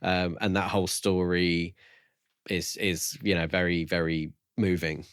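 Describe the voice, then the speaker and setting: A man with a fairly low voice chats as a podcast host. He offers opinions casually and tentatively, thinking them through as he talks. The recording is very dry, with no audible room. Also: little pitch movement, measured pace, clear, resonant tone, no echo. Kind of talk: casual book review discussion.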